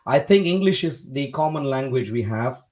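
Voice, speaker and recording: A man from India hosting a debate livestream, talking in a measured, polite way with frequent hesitations. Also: mid-range voice, animated, darkish tone. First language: not Hindi. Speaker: livestream host.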